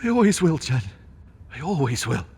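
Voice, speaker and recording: A man plays a devoted working husband in a radio drama. His earnest male voice is fairly high and lively, a little halting, over faint noise. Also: creaky. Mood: shaken, tender. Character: warm, devoted husband and manservant, shaken but grateful. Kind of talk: audio drama acting.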